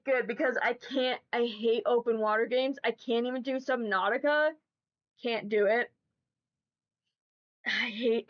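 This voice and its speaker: A woman's voice: fairly high pitch, lo-fi sound. A woman streamer with a stuffy nose from allergies, chatting casually and rambling to her chat. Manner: rambling, chatty, repeats herself.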